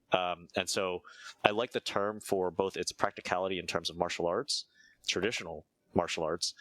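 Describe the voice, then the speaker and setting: Low-voiced American man, reflective. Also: fairly bright tone, no room sound. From United States. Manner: measured, thinks aloud with frequent "uh" and "right?". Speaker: interview guest, martial arts teacher. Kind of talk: reflective conversational explanation.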